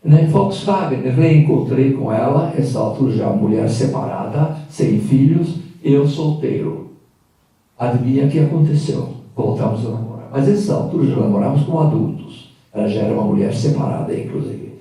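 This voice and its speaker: A man's voice: mid-range voice, animated, dark tone. Older man in an echoey hall. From Hungary. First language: Hungarian. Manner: reflective, halting with fillers. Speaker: guest speaker. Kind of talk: personal storytelling talk. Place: event hall. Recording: echoey room from a distant mic.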